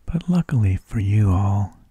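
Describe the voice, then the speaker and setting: A man hosting ASMR, speaking in a husky, breathy whisper with halting phrases and long pauses. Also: on the low side, expressive, dark tone, no room sound. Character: mild, tedious energy vampire who lulls people to sleep. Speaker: ASMR host.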